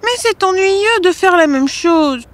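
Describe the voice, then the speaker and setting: A woman voicing a curious, determined young ninja girl in an animated fairy tale. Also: extremely high pitch, measured pace. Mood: questioning.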